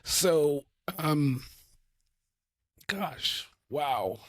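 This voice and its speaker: A man hosting his own podcast, reviewing a film in a relaxed, rambling way full of fillers and restarts, with his voice going hoarse. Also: fairly high pitch, very slow, dry recording, clean studio sound. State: losing his voice. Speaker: podcast host. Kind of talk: casual solo film review.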